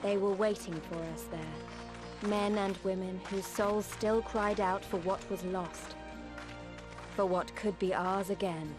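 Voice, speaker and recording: A woman's voice: medium pitch, steady tone, takes it slowly, lightly acted. Woman playing an earnest young female resistance fighter, music behind. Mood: solemn, reflective. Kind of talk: dramatic video game voice acting. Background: orchestral music.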